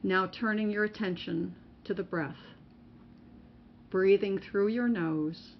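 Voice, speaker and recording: A woman narrating a guided meditation as a mindfulness teacher, in measured, instructional phrases with pauses between them. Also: medium pitch. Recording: muffled recording with faint background noise.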